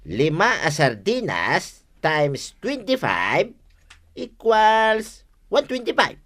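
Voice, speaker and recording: A woman playing an earnest young child in a radio comedy drama, speaking haltingly. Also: lowish voice, huge pitch swings, fairly bright tone, heavily acted. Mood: concentrating.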